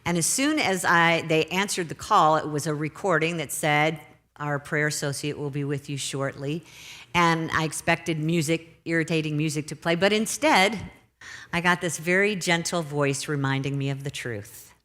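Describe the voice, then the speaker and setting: A middle-aged woman minister giving a Sunday sermon in a church, gentle and unhurried, slowing down to stress her points. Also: sits low. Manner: gentle, unhurried, slows for emphasis. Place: church sanctuary.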